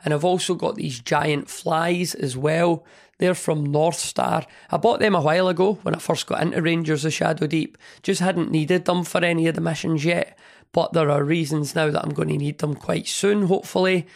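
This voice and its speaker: A man hosting a hobby podcast, chatting in a rambling, enthusiastic way with a hoarse, partly lost voice from a cold. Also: a little high, fairly even intonation, normal speed. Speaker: podcast host. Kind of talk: casual hobby podcast monologue.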